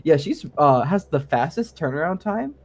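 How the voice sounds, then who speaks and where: A man's voice: on the high side, big pitch swings, normal speed, crowd noise. A man chatting casually as a guest sound designer on a gaming show, very informal and peppering talk with "like". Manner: informal, frequent "like" and quoted asides. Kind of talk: casual interview chat.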